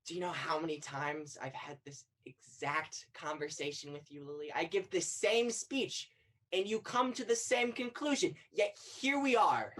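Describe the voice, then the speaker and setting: A woman's voice: low-pitched, normal speed, fairly bright tone. A young woman on stage playing an earnest, insistent guide to the afterlife, probing and solemn, in a student one-act play. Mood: weary, solemn. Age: young adult.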